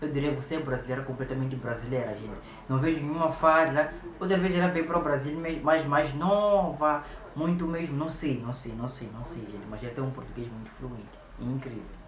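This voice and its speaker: A man's voice: medium pitch, fairly even intonation, brisk, hardly any pauses. A man from Mozambique hosting a reaction video. He comments emphatically and repeats himself often, saying "gente" again and again. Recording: echoey room with background noise.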